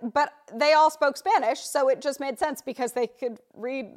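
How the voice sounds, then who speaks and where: A young woman co-hosting a podcast, telling a story in a quick, chatty way. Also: high-pitched. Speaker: podcast co-host. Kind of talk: casual storytelling chat.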